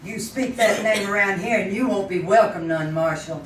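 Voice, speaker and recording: A woman's voice: medium pitch, moderate pace, natural voice. A woman playing a gloomy old hill-country woman in a radio drama, curt and folksy. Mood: prickly. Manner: folksy, curt, plain-spoken. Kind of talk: old-time radio drama acting on stage. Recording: echoey live stage, distant, faint noise.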